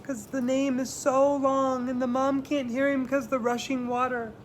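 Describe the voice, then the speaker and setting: A man's voice: very high voice, little pitch movement. A man reading a picture book aloud to children, with dramatic phrasing and simple explanatory asides. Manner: dramatic phrasing, slowing for emphasis. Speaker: children's story reader. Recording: clear background noise.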